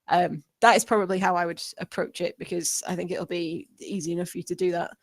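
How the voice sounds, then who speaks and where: A woman's voice: fairly low pitch, steady tone, fast talker, no room sound. Woman rambling through feedback, dry sound. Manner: rambling, explanatory. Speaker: script reviewer giving feedback. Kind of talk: informal spoken critique.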